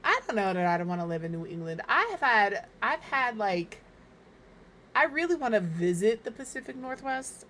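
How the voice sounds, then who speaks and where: A woman from Minnesota streaming from home, chatting casually and rambling, her voice slightly hoarse and crackly as it recovers. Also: fairly high pitch, fairly bright tone. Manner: rambling, chatty, self-deprecating. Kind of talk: casual chat while gaming.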